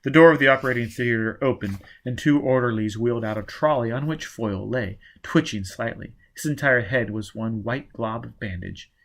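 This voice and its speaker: A man's voice: mid-range voice, normal speed, few pauses, fairly bright tone. A man reading a novel aloud as dramatic scripted narration. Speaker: audiobook reader.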